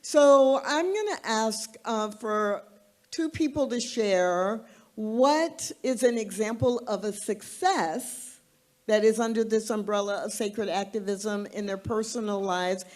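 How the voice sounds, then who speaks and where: A woman moderating a panel informally, thinking aloud in an unhurried way. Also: mid-range voice, darkish tone.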